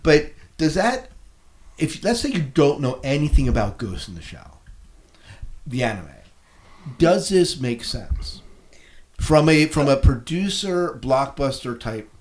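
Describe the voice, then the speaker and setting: A man hosting a podcast in casual discussion, halting and repeating phrases as he makes his point. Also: on the high side, big pitch swings. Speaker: podcast host.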